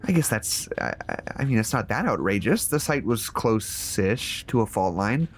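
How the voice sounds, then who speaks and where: An actor playing a bitter, exasperated office worker in an audio drama, sarcastic and prone to stammer when agitated. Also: male voice, lowish voice, animated, normal speed, a little hiss or hum. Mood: weary, disbelieving. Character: bitter, exasperated male office worker cut loose by employer. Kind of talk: naturalistic audio drama dialogue.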